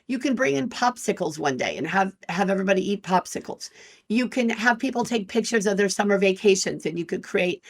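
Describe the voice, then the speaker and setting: A woman in her fifties, an employment lawyer hosting her own podcast, talking frankly and directly to listeners in a loud, energetic voice. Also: medium pitch, brisk. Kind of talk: solo podcast talk.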